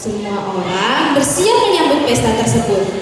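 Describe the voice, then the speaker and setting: A teenage girl with an Indonesian accent telling a story theatrically, with dramatic, deliberate pauses. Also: on the high side, sing-song. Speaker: student storyteller. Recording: echoey hall from far off, music, crowd.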